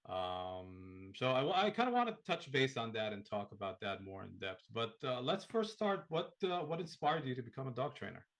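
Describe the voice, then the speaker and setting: A man's voice: mid-range voice, animated, moderate pace, few pauses. A man hosting a casual interview podcast, asking loose questions full of fillers. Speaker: podcast host.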